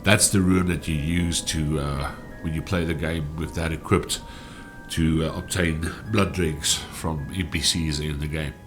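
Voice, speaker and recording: A man from with a stuffy cold voice, giving relaxed gameplay walkthrough commentary. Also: a bass voice, measured pace, over music. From South Africa. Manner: relaxed, explanatory, with frequent "uh" fillers. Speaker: gaming channel host.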